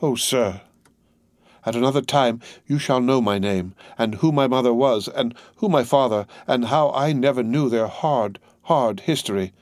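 A man reading aloud, voicing a young woman who gently and earnestly pleads with her father. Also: mid-range voice, normal speed, no room sound, close to an everyday voice. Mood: pleading. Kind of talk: audiobook character voice.

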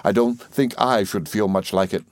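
A man's voice: fairly low pitch, normal speed, dry recording, lightly acted. An earnest young schoolboy read by a grown man speaks politely. He is eager and effusive in his admiration, with a dry, close storybook sound.

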